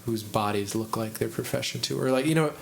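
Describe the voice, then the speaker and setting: A man's voice: mid-range voice, brisk, gravelly, slight background noise. A man with a Midwestern American accent, hosting a podcast and chatting casually, rambling and restarting his sentences as he thinks aloud. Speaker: podcast host, musician. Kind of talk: casual chat.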